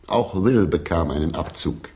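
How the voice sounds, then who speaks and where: A man's voice: sits low, animated, moderate pace, darkish tone. An actor playing an English stage player in a literary radio drama, telling his memories in an emphatic, theatrical way. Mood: eager recounting. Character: English stage actor reminiscing about a poet friend.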